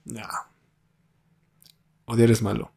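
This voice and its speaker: A man's voice: mid-range voice, little pitch movement, slow pace, creaky, mellow. A man with a Mexican accent hosting a casual podcast chat, slangy and laid-back, asking his guests questions. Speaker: podcast host.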